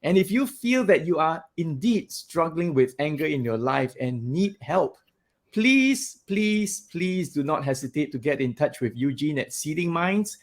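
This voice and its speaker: A man hosting a podcast, talking in a loud, animated way and laughing often. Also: fairly high pitch, unhurried. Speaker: podcast host. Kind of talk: casual interview chat.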